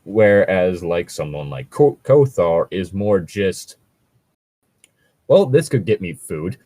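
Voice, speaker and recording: Low-voiced man in casual, halting commentary. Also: big pitch swings, dark tone, no echo. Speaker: podcast host reacting to read-aloud Reddit posts.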